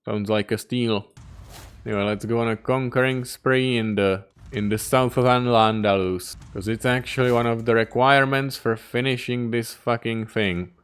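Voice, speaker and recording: Gamer guy talking over his strategy game, smooth clear voice, cursing and complaining as he goes. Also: medium pitch, steady tone, moderate pace, a bit bright. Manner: swearing, exasperated running commentary. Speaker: gaming YouTuber. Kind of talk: strategy game playthrough commentary.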